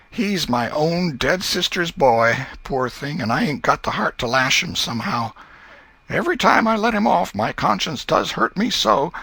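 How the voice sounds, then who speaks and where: A man's voice: fairly high pitch, gravelly, pushed and bright, breathy edge, dry recording, voice pushed up, full character voice. A man voicing a fretful, tender-hearted old American country aunt in a folksy Southern accent for a storybook reading. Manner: folksy, exclamatory, drawn-out lamenting. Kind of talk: dramatized audiobook reading.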